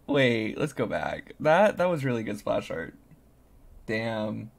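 An excitable content creator giving casual, gushing, slangy reaction commentary on a game. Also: mid-range voice, takes it slowly, creaky, background noise. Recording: music behind the voice.

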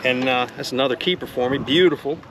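A man's voice: mid-range voice, fast. A middle-aged man vlogging a fishing trip, chatting upbeat about his catches on the deck of a party boat at sea. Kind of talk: casual fishing commentary. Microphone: action camera on the boat. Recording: loud wind and boat noise.

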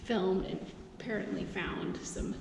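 A woman's voice: medium pitch, steady tone, unhurried, vocal fry. A woman in her thirties from Texas, chatty and playfully spooked, talking to a handheld camera inside an old fort. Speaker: travel vlogger. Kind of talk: casual travel vlog. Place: old concrete bunker, indoors. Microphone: handheld camera at arm's length. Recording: echoey concrete bunker with long reverb and noise.

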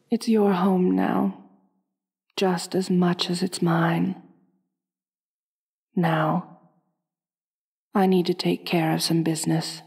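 Woman acting a slow, soothing vampire mistress. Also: fairly low pitch, gravelly, breathy edge, no room sound, clean studio sound, full character voice. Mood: welcoming, gentle. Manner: soothing, genteel, softly commanding. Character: gentle, doting, aristocratic female vampire mistress. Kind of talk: ASMR roleplay, intimate acting.

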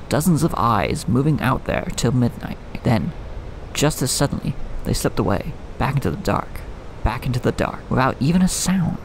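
A man's voice: fairly high pitch, vocal fry, a little hiss or hum, low-quality recording. A man playing a brooding, poetic old lighthouse keeper in an audio drama, musing like a storyteller. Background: music.

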